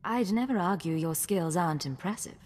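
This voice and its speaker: Game lead: composed imperial agent, slightly low female voice, cool, dry delivery in a long hall reverb. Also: expressive, a little fast, darkish tone, soft background music. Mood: amused, measured. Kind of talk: video game voice acting.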